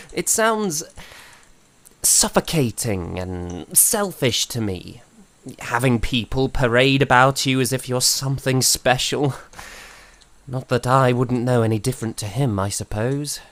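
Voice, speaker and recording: An actor playing a young composer in a period audio drama, courteous and earnest, with formal, slightly hesitant speech. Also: male voice, mid-range voice, measured pace, a bit bright. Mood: disdainful, musing. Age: young adult.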